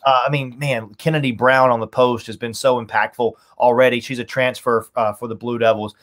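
A man's voice: mid-range voice, flat delivery, a little fast, a bit bright. A man in his thirties talking through team analysis conversationally as a sports announcer on a video call, stressing points by repeating words. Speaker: radio play-by-play announcer, podcast guest. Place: small home room, indoors. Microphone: video call, webcam framing.

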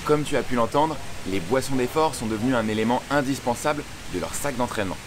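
A young man hosting a swimming tutorial, speaking directly and informally to the viewer. He sits outdoors by a pool with a camera framing him at chest height. A steady hiss sits under his voice. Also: medium pitch, quick pace. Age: young adult. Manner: addresses viewer informally, clear explanatory delivery. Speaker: swim coach and channel host.

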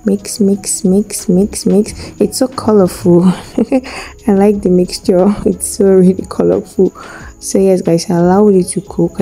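A woman's voice: fairly low pitch, mellow. A young woman from Nigeria giving a chatty voice-over of her own cooking as a food vlogger, repeating her words and now and then giggling. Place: home kitchen for the intro.

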